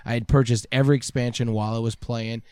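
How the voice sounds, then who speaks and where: A man's voice: medium pitch, fairly even intonation, quick pace, mellow, smooth, no room sound. A man co-hosting a podcast, chatting casually like an enthusiastic nerd. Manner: self-confessed nerdy enthusiast. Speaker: podcast co-host. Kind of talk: casual chat.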